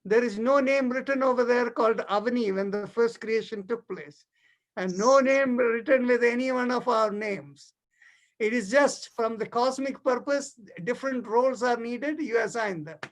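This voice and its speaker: A middle-aged man with an Indian accent, a native Tamil speaker, gives a spiritual lesson. He explains ideas step by step in a didactic way, often pausing to check that he is understood. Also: extremely high pitch. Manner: didactic, checks understanding with short tag questions. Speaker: yoga philosophy teacher.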